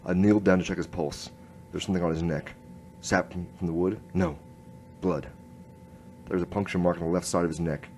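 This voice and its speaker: An actor playing an earnest, halting noir police inspector who narrates his case in a radio drama. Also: sits low, steady tone, a little mellow, a little hiss or hum. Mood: brooding, tense. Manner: hard-boiled first-person storytelling, halting.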